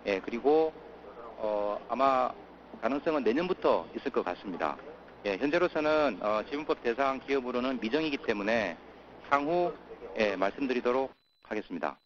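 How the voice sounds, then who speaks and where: A man's voice: medium pitch, animated, a little hiss or hum. Hesitant man on a muffled conference call. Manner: halting, many filler sounds. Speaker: company executive presenting. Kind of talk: earnings call presentation and answers.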